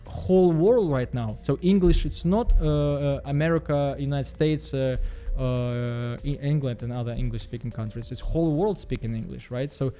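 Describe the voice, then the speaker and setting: Halting Belarusian man. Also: medium pitch, dark tone, soft background music. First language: Russian. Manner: halting, repeats words, frequent fillers. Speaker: vlogger. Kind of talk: casual self-help vlog monologue.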